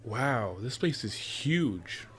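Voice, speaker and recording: A man's voice: mid-range voice, sing-song, extremely slow, fairly bright tone, soft background music, heavily acted. A man playing an affectionate, playful boyfriend in a roleplay audio drama, talking in a teasing, coaxing way. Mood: wry, playful. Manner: teasing, chuckles often, coaxing. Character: affectionate playful boyfriend spoiling his sweetheart with surprises.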